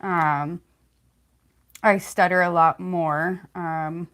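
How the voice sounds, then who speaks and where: Young woman livestream host, slightly low voice, faint lisp, talks in halting casual bursts with frequent fillers. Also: fairly even intonation, a bit bright. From California, United States. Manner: halting, many fillers and restarts.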